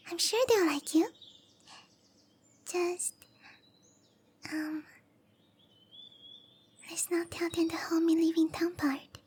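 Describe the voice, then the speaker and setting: Casting: petite young cowgirl mage for fantasy roleplay ASMR; very high-pitched, unhurried, hesitant, bashful, eager to prove herself. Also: slight background noise. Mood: smitten, bashful. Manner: bashful, hesitant, breathy murmurs between bright chatter. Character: tiny young cowgirl monster girl, shy eager support mage. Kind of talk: roleplay ASMR, anime-style character acting.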